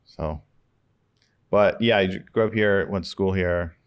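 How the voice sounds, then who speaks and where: Low-voiced podcast host telling a funny tale. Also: male voice, measured pace, clear, resonant tone, lo-fi sound. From Los Angeles. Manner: animated, self-deprecating storyteller. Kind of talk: casual conversation.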